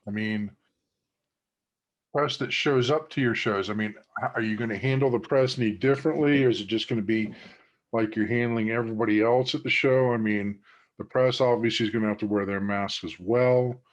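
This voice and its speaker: A man hosting a podcast, asking his guests a question haltingly and searching for words. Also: fairly low pitch. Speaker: podcast host. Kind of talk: casual interview questions.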